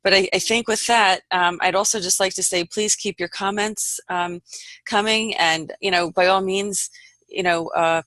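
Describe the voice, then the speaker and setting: A woman hosting an unscripted online panel, speaking in an earnest, halting, meandering way. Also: medium pitch, steady tone, a bit bright, no room sound. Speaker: host of an online panel report.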